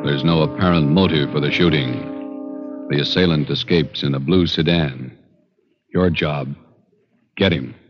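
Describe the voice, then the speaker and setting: A man with an extremely deep American voice delivers a solemn, portentous radio drama introduction. It is a dull vintage recording with clear music. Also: a little slow, long pauses. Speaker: announcer. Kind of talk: 1950s radio drama introduction, scripted narration.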